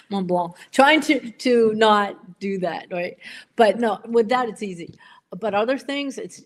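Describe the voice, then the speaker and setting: A woman co-hosting a casual livestream chat over a video call, talkative and self-deprecating, often laughing. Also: mid-range voice. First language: English. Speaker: co-host of a pen livestream.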